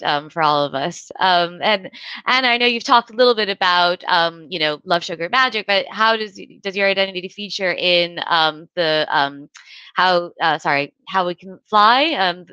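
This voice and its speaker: A woman moderating a video call panel, relaxed and hedging with "sort of" and "um". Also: on the low side, normal speed, clear, resonant tone, dry recording, dull audio. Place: home room on a video call.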